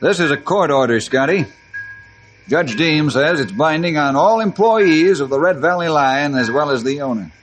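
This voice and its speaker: An actor playing a brisk, authoritative forest ranger chief in an old-time radio western. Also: male voice, on the high side, animated, soft background music, lightly acted. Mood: firm. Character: forest ranger chief, firm, decisive, fair-minded leader.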